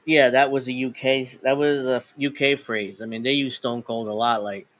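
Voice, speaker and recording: A man's voice: mid-range voice, steady tone, normal speed, a bit bright. A man co-hosting a casual wrestling chat, rambling and laughing often. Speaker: podcast co-host.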